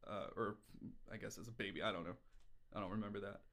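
A man's voice: lowish voice, steady tone, fast talker, fairly bright tone. A man chatting casually as a podcast guest, telling personal stories in a candid, irreverent, rambling way. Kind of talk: casual conversational storytelling.

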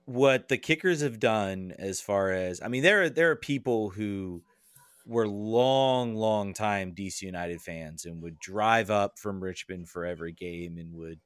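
A man guesting on a casual podcast. His voice sits fairly low and sounds close and dry, with no room around it. He talks in halting bursts, restarting phrases and chuckling at himself. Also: clear, resonant tone, dry recording. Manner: halting, many restarts and self-deprecating laughs.

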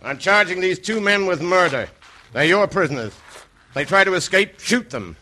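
Stern, forceful cavalry lieutenant. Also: male voice, sits high, animated, moderate pace, full character voice. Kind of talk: old-time radio western acting. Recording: noisy vintage radio recording.

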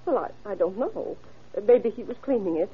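A woman's voice: mid-range voice, lively intonation, moderate pace, slightly rough, muffled recording. An actress playing an anxious, trusting older mother in a fretful, earnest old radio drama style. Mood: shaken, earnest. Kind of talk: vintage radio drama acting.

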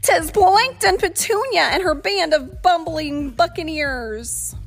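A woman voicing a bold, cunning female pirate in a storybook reading, with loud, sing-song calls. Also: very high-pitched, slow pace, faint music behind, pitched above the performer's own voice, heavily acted. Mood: weary. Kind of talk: storybook read-aloud.

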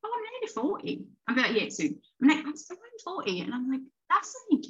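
A woman's voice: sits high, huge pitch swings, brisk, a bit bright, muffled recording. A woman in her late thirties, a podcast guest, chatting casually and rambling through her thoughts with frequent restarts. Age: 30s. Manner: rambling, restarts often, laughs while talking. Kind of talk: casual conversation.

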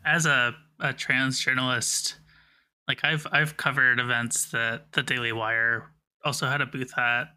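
Very bright-voiced man co-hosting a podcast. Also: mid-range voice, steady tone, a little slow, clean tone. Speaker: podcast co-host, journalist. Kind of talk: casual discussion.

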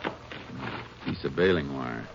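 Low-voiced, terse Texas Ranger. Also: male voice. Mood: analytical. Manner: terse, deliberate, matter-of-fact. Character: calm, shrewd Texas Ranger investigating a murder. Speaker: lead actor and narrator. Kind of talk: 1950s radio drama acting. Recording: noisy, muffled 1950s radio recording.

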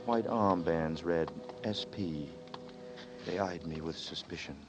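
A deep-voiced, brooding narrator. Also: male voice, slow. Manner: tense, hesitant, brooding. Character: troubled man narrating his ordeal. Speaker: narrator and lead. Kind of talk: 1940s radio drama narration. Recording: lo-fi 1940s radio broadcast with music.

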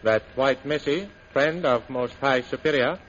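A man acts a rigid, obedient guard in a vintage radio adventure, barking clipped replies. His mid-pitched voice sits on a dull recording. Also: a little slow, fairly bright tone, close to an everyday voice. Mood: stiff obedience. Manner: clipped, barked replies, broken English. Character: obedient Chinese sentry, stiff and servile. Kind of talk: 1930s radio serial acting. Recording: muffled old radio recording with hiss.

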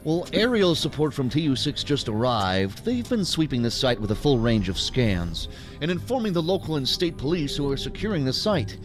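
An actor playing a deferential, uneasy agent in a sci-fi audio drama, speaking formally and haltingly. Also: male voice, medium pitch, sing-song, faint music behind, close to an everyday voice. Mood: dutiful. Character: deferential, uneasy male agent and task force leader. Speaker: subordinate law-enforcement agent.

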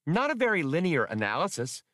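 A man's voice: fairly high pitch, wildly swooping pitch, a little fast, pushed and bright, dry recording, studio quality, pitched above the performer's own voice, heavily acted. A man voicing a small, prim male droid with a precise way of speaking.

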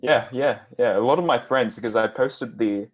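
A young man in his twenties, a podcast guest who speaks in a nervous, halting way with frequent stumbles. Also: medium pitch, slightly rough. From Australia. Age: 20s. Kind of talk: casual interview.

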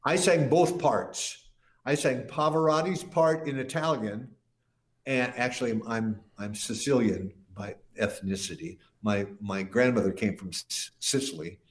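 A man's voice: medium pitch, measured pace. Older man telling rambling stories, roomy sound. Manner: rambling, with frequent restarts. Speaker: guest musician. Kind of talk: casual interview storytelling. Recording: roomy echo.

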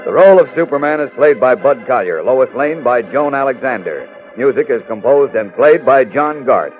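A man's voice: mid-range voice, expressive, normal speed. A man narrating and announcing a 1940s radio serial in a dramatic, declamatory style. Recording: muffled old radio recording with music.

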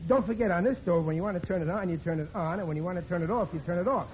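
A man's voice: high voice, very fast, close to an everyday voice. A man playing a bumbling, boastful working-class husband in 1950s radio sitcom style. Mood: clueless. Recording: muffled, noisy old radio broadcast.

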